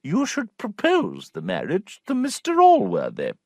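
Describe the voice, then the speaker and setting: A male narrator voicing a prim, knowing middle-aged gentlewoman aunt, speaking slowly and deliberately with a superior air. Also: high voice, huge pitch swings, no echo, clean studio sound, voice pushed up, full character voice. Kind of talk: audiobook character voice.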